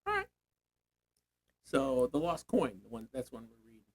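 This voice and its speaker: A man's voice: mid-range voice, huge pitch swings, moderate pace. An older man, a grandfather, leading a casual Bible reading, thinking aloud and prompting with questions. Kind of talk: casual teaching chat.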